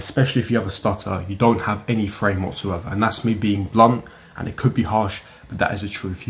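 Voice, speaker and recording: A man's voice: fairly low pitch, a little mellow. A young man giving blunt, emphatic self-help advice straight to camera, repeating his key points. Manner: blunt, emphatic and repetitive, lecturing the viewer. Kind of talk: direct-to-camera advice talk.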